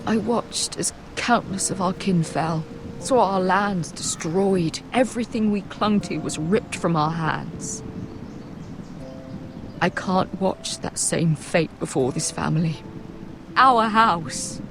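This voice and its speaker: A woman's voice: lowish voice, slow pace, noisy background. An actress playing a middle-aged noblewoman and mother in a fantasy game, intense, solemn and steely. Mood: anguished. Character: fierce, embittered noble mother, steely and vengeful. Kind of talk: dramatic video game acting. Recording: music behind the voice.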